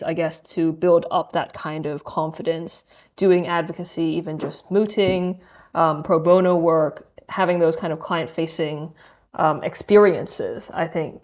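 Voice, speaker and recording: A woman's voice: sits low, steady tone, a little slow, darkish tone, dull audio. A young adult woman from Hong Kong, a podcast guest answering questions thoughtfully and with some hesitation. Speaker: podcast guest, law student. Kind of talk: casual interview chat.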